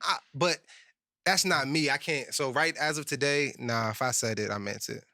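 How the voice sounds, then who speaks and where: Young man from Phoenix, blunt, swears freely; bright voice, dry close mic. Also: medium pitch, normal speed, no room sound. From Phoenix, Arizona. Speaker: guest rapper. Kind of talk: casual podcast chat.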